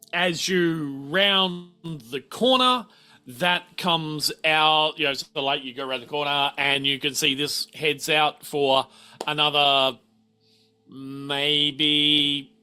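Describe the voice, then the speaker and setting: A man's voice: a little high, takes it slowly, sharp tone, smooth, soft background music. A man running a tabletop game as game master, explaining and thinking aloud as he improvises.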